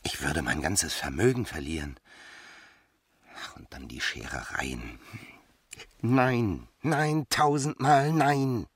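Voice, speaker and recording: A man's voice: fairly low pitch, expressive, takes it slowly, long pauses, slightly rough, no room sound, clean studio sound, exaggerated voice. A man playing a self-centred, callous bachelor lover in a radio drama, with theatrical, self-justifying delivery. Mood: exasperated self-pity.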